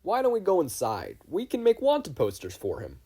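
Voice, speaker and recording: A gruff old great-uncle from a story, acted by a man talks in an easygoing, comforting way. The voice is husky and pushed up in pitch. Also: wildly swooping pitch, moderate pace, dark tone, heavily acted. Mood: comforting, offhand.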